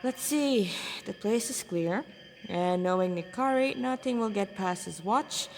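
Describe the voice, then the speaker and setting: A woman's voice: mid-range voice, animated, unhurried, a little mellow. A woman voicing a cheeky, restless young male cadet in a story, with a slangy, teasing manner. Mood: bored, musing. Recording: echoey room with long reverb.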